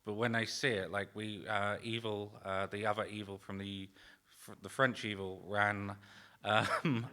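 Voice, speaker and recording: A man speaking informally on a panel, halting and often trailing off mid-phrase as he explains. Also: fairly low pitch, steady tone, pushed and bright. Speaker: demoscene party organizer on a panel. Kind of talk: informal roundtable talk.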